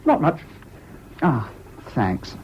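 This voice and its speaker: An actor playing a whimsical, impulsive young English student in a radio drama, talking in a chatty, flippant way. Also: male voice, mid-range voice, huge pitch swings, drawn-out words, exaggerated voice. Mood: eager, whimsical. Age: young adult. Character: whimsical, impulsive young male Cambridge student, evasive charmer. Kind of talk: 1970s British radio drama acting. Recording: dull, hissy old radio recording.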